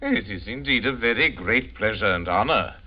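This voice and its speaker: Deep, suave, menacing aristocratic general. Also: male voice, animated, husky. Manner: formal, unhurried, theatrical. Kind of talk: 1940s radio acting. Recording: muffled old radio recording.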